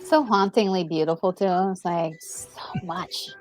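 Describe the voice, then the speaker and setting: A woman co-hosting a gaming channel, chatting casually in a gushing, expressive way as she reacts. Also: fairly low pitch, measured pace, faint music behind. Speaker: gaming co-host.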